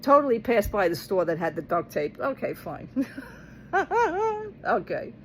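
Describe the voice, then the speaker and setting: A woman with a slightly low-pitched voice vlogs in a casual, rambling way. She is blunt and exasperated, speaks colloquially, and tells her story by replaying who said what. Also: lively intonation, measured pace, fairly bright tone, a little hiss or hum, low-quality recording. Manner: blunt, exasperated, colloquial, re-enacting both sides of conversations. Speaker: vlogger talking to followers.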